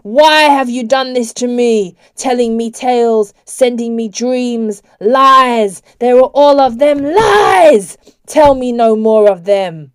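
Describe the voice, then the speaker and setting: A woman voicing a male vampire, a dark angel and cruel master given to coaxing and commanding in a storybook. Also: high-pitched, measured pace, voice pushed up. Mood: raging, commanding. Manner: sweetly coaxing turning to commanding shouts.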